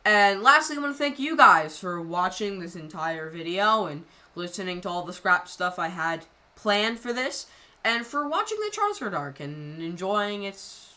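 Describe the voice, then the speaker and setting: Rambling woman with a bright voice. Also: medium pitch, animated. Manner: rambling, halting, full of fillers. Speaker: channel creator. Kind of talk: casual video commentary.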